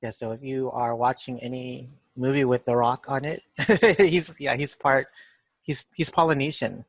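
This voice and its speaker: A man with a Filipino accent hosting a relaxed art history lesson, asking his guest questions and laughing easily. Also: medium pitch, moderate pace, gravelly, no echo. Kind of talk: casual classroom discussion.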